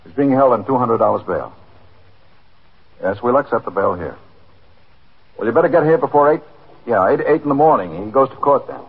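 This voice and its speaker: An actor playing a businesslike, courteous police desk lieutenant with a brisk official manner in a 1950s radio drama. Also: male voice, mid-range voice, lively intonation, vocal fry, breathy edge. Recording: tinny, narrow 1950s radio recording.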